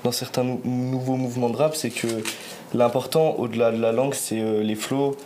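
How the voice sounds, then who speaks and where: Young guy hosting a podcast, chill and slangy, asks stuff, normal pitch, bit of hiss. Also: level tone, normal speed, darkish tone. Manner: easygoing, leads with questions, slangy fillers. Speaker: podcast host. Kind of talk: casual interview.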